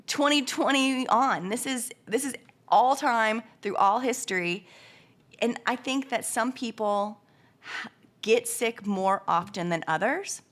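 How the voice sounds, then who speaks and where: A woman co-hosting a casual podcast, hoarse and sniffly from a summer cold, musing earnestly and talking with animated swings. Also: on the high side. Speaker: podcast co-host.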